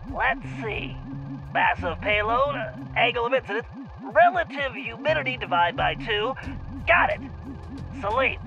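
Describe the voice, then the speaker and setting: A man's voice: very high-pitched, lo-fi sound, exaggerated voice. A man playing a brainy, earnest cartoon turtle tech planner in a video game, with a precise, fussy manner. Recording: music behind the voice.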